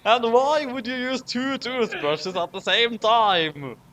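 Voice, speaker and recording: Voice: mid-range voice, slow, a bit bright. A speaker giving casual, joking commentary during a fan fiction reading.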